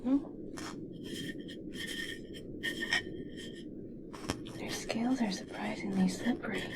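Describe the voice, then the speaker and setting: A woman playing an obsessed, possessive yet tender scientist in a slow, breathy, intimate ASMR roleplay whisper. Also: mid-range voice, natural voice. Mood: fascinated.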